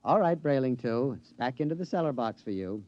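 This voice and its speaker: A man's voice: medium pitch, animated, a little fast, lightly acted. An actor playing a breezy, secretive married man with a genteel, assured manner in a 1950s radio drama. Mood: brisk, placating. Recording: dull old 1950s radio recording.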